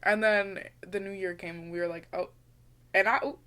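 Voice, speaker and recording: A woman's voice: medium pitch, steady tone, moderate pace, bright, forceful tone. A young woman co-hosting a podcast in casual chat, with a slight lisp, mumbling a little and laughing often. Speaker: podcast co-host.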